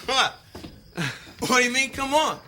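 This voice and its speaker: Stage-drama role: volatile, insistent rough-edged brother, folksy storyteller, high-pitched and bright, light noise behind. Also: male voice, measured pace, heavily acted. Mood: argumentative. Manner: folksy, emphatic, repetitive storytelling. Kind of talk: naturalistic filmed stage-play acting.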